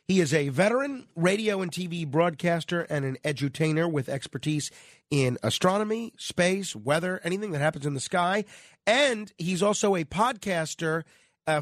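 A man's voice: a little high, dry recording, clean studio sound. A man hosting conversational talk radio, his voice nasal and stuffed up with a cold. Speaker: radio host.

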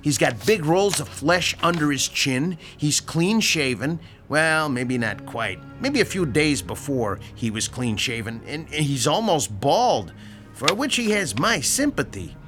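A grouchy sarcastic landlord. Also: male voice, on the high side, big pitch swings, a little slow, fairly bright tone, faint music behind. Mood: musing. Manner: sarcastic, grumbling, blunt. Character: grouchy sardonic male building landlord. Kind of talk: audio drama acting.

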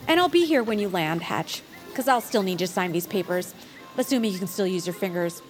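A woman's voice: on the low side, lively intonation, fairly quick, faint music behind, lightly acted. A woman playing a dry, officious small-town official creature, bureaucratic and matter-of-fact, in cartoon audio fiction. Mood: dryly doubtful.

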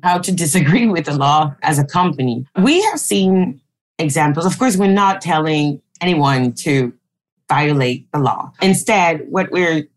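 A woman from Cameroon, explaining her advocacy work on a panel in a measured way, correcting herself now and then. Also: low-pitched, expressive. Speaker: digital rights advocate. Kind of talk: panel discussion answer.

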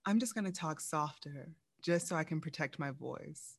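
A woman hosting a casual interview, bubbly and slangy, drawing her words out. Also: sits low. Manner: bubbly, slow and drawn out, slangy. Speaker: interview host.

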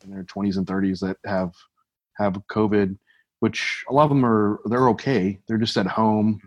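A man speaking as a podcast guest, with a somewhat low voice. He talks in a relaxed, easygoing way, as if chatting with a friend. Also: normal speed, muffled recording. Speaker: podcast guest, bodybuilder and coach. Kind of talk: casual interview conversation.

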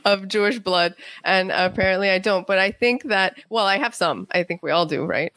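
A woman's voice: mid-range voice, normal speed, fairly bright tone, no echo. Casual woman guest, dry close sound. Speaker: guest, playwright and author. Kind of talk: casual conversational interview.

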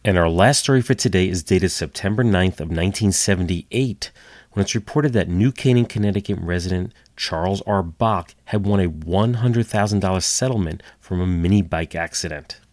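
A man's voice: low-pitched, moderate pace, a little mellow, dry recording. A man hosting a storytelling history podcast, slightly nasal from a cold, with dry wry asides. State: getting over a cold. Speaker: podcast host. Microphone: home computer setup.

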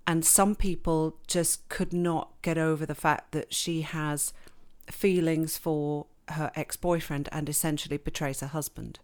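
A woman from England, raised in Switzerland, with an English accent, chatting warmly and laughing often as a novelist being interviewed. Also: low voice, fairly even intonation, measured pace, few pauses. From born in England, grew up in Switzerland. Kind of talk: casual interview chat.

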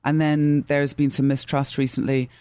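A woman's voice: a bass voice, level tone, normal speed, dark tone, smooth, no room sound. A woman from Scotland with a British accent, chatting playfully as a guest in a radio studio, joking and laughing easily. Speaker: guest actress. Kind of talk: casual interview chat. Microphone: studio microphone.

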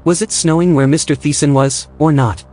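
Actor as higher-voiced, matter-of-fact station technician. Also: male voice, steady tone, brisk, dark tone, close to an everyday voice. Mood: peevish. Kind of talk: science-fiction audio drama acting. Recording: music bed behind the voice.

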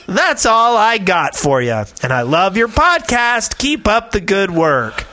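A man's voice: high voice, sing-song, takes it slowly, bright, forceful tone. A man co-hosting a casual podcast, playfully theatrical as he chats and reads aloud. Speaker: podcast host. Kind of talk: casual chat and reading listener mail aloud.

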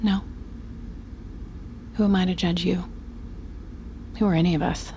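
A low-voiced calm, calculating woman speaks intimately in an audio drama. She is quietly persuasive, with faint ambient noise around her. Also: unhurried, poor audio. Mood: reassuring.

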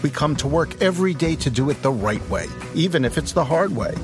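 Earnest guy doing an ad, music playing clearly under him. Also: fairly high pitch, lively intonation, fairly quick. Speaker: ad announcer. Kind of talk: scripted radio ad.

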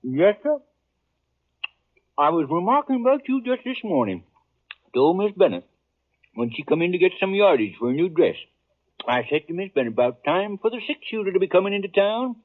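An actor playing a chatty, nosy old storekeeper with a rural Western American accent in a 1950s radio Western. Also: male voice, high-pitched, big pitch swings. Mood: chatty, teasing. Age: older. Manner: chatty, rambling, drawn-out folksy remarks. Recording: thin, muffled 1950s radio recording.

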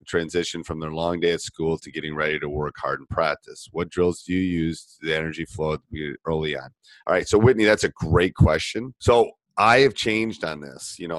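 A middle-aged man coaching from experience, his very low voice thickened by a cold. He rambles through listener questions with plenty of fillers and restarts. The sound is dry and close, with no room around it. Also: no echo. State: voice deeper than normal from a cold. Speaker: basketball coach and podcast host. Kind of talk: live Q and A, casual answers to listener questions.

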